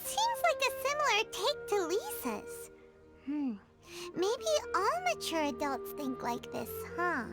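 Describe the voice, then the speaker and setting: A woman's voice: extremely high pitch, huge pitch swings, takes it slowly, exaggerated voice. A woman voicing a small, cheerful, talkative childlike fairy companion in a video game. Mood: earnest. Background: music.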